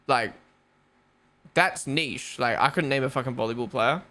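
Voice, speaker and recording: A man's voice: medium pitch, normal speed, sharp tone. A young man with a New Zealand accent, rambling casually as a live stream host, full of fillers and swearing. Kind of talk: casual live watch-along chat.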